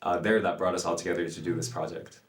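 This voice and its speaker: Deep-voiced young man, echoey room. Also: level tone. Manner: halting, many fillers and restarts. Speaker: singer in a pop group. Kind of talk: casual interview answers.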